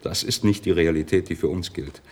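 Man playing a lowish-voiced skeptical doctor. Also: a little fast, noisy background, close to an everyday voice. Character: skeptical, down-to-earth male doctor. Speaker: actor in a radio play. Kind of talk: German radio drama acting.

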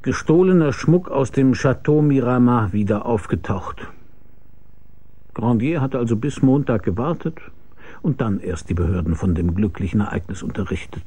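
A world-weary private eye in a radio crime drama. His voice is dark and husky, sitting at an average male pitch. He tells his case drily and questions people in short, wry phrases. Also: animated, normal speed. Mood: brooding, wry. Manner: laconic, dry, probing. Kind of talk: German radio crime drama, first-person narration and dialogue.

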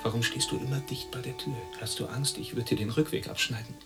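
An actor in a radio drama playing a weary, yearning East German emigrant, reflective and intense. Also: male voice, medium pitch, fast talker, over music, natural voice. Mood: probing. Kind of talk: radio drama monologue and dialogue.

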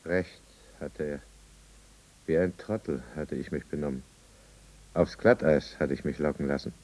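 A man's voice: extremely low pitch, unhurried, darkish tone, rough recording. A man playing a proud, anxious and embittered prisoner in a 1950s German radio drama, formal and intense. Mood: bitter, narrating. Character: anxious, proud, embittered male prisoner telling his downfall.

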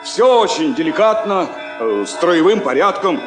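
An actor playing a rough, cocky revolutionary Baltic sailor with slangy, blustering talk in a stage drama. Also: male voice, high-pitched, expressive, unhurried. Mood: indignant. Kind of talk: Soviet stage drama acting. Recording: echoey stage recording with music.

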